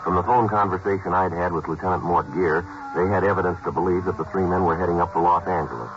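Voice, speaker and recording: Flat deadpan cop narrating, real low voice, noisy old radio. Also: male voice, fast, highs cut off. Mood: matter-of-fact. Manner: clipped, flat, matter-of-fact delivery. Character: terse male police detective sergeant narrating his case. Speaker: lead character and narrator. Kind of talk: 1950s radio drama narration and dialogue.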